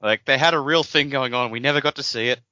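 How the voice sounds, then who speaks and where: A man's voice: mid-range voice, fast talker, pushed and bright, no echo, lo-fi sound. A man co-hosting a podcast in loose, casual conversation. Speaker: podcast co-host. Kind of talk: casual chat.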